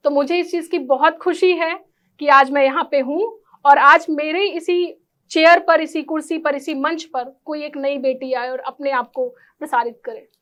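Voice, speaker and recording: A woman speaks as an interview guest in a clearly high-pitched voice. She answers formally and earnestly, slipping English words into her sentences. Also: steady tone, normal speed, fairly bright tone. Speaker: interview guest, architect and NGO volunteer.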